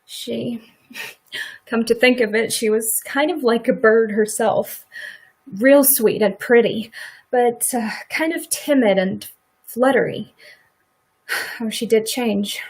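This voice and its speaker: A woman's voice: fairly high pitch, slow, pauses often, breathy edge, exaggerated voice. A woman playing a rueful, blunt farmer's wife with folksy rural speech in a live radio play. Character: farmer's wife Mrs. Hale, regretful, blunt, protective neighbour.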